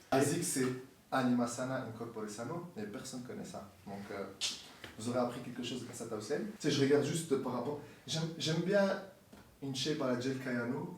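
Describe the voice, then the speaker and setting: A man chatting casually in an interview as a musician guest, relaxed and mixing in English slang, recorded in a sneaker store. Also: medium pitch, slight background noise. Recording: echoey store from far off.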